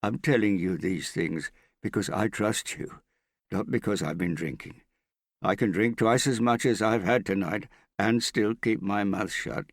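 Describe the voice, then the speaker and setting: A male narrator plays a frightened, suspicious man who drinks and hides from the world. His voice rides high and urgent, pleading and easily roused to anger. It is audiobook character work, closely and dryly recorded. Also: measured pace, no room sound, studio quality. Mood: secretive, frightened. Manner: agitated, suspicious, pleading, prone to shouting. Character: paranoid, shaky, hard-drinking frightened man in hiding.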